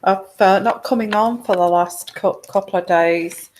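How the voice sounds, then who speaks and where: A woman hosting a live tarot show, speaking quietly through a sore throat from a virus, hesitant with many pauses and restarts. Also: mid-range voice, slight background noise. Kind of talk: casual live-stream chat.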